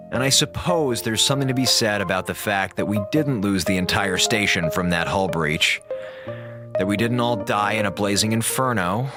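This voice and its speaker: An actor playing a wry, exasperated space station communications officer in a radio drama, sardonic and theatrical with dramatic pauses. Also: male voice, on the low side, natural voice. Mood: resigned. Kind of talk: radio drama monologue, log to listeners.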